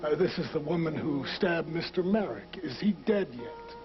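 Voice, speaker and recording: A man's voice: a little high, expressive, normal speed, lightly acted. An actor playing a cold, sarcastic man who is bitter after being wounded, in a soap opera. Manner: sarcastic, curt. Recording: dull lo-fi TV recording with music.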